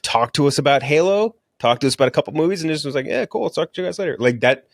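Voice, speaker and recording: A man's voice: fairly high pitch, animated, very fast, creaky, no echo, clean studio sound. A man hosting a casual movie podcast, talking in a blunt, slangy, profane way. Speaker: podcast host reviewing movies.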